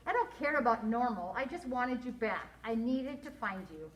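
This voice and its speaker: A woman's voice: a little high, lively intonation, moderate pace, far from the mic, lightly acted. A woman voicing a loving, distraught and apologetic mother in a children's storybook, earnest and emotional. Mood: tearful. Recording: echoey room, audible background noise.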